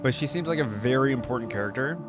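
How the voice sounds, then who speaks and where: A man's voice: medium pitch, lively intonation, fast. A man giving casual, musing reaction commentary as the host of a reaction video. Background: music from the watched video.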